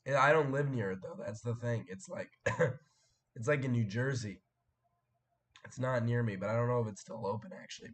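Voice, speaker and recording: Young man, hoarse, cracking voice, rambling stream chat, throat clearing. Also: medium pitch. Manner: rambling, chatty, frequent fillers and throat clearing. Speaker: live stream host. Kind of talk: casual live stream chat, reading viewer comments.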